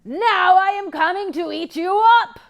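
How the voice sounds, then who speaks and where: A woman voicing a horrid, hungry old bridge troll in a storybook, roaring menacingly with drawn-out words. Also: very high-pitched, vocal fry, a bit bright, pitched above the performer's own voice, exaggerated voice. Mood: threatening, hungry.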